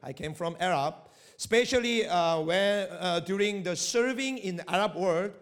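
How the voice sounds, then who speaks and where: A middle-aged man speaking English with a Korean accent, preaching in a fairly high voice. He talks haltingly, restarting phrases and pushing up on key words. Korean is his first language, and he works as a missionary pastor. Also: fairly bright tone, smooth. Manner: halting non-native English with restarts, rising emphasis. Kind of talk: sermon.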